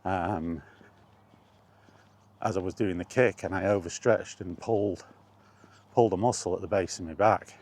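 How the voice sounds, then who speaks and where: A man's voice: deep voice, fairly even intonation, moderate pace, pauses often, darkish tone. A middle-aged British man casually rambling through personal memories, as in an informal vlog. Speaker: vlogger.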